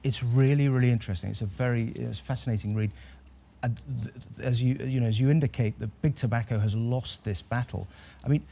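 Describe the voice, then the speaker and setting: A man appearing as a guest on a television newspaper review, talking in a measured way with hesitant fillers. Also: mid-range voice, steady tone, creaky, mellow. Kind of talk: casual studio discussion. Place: television studio.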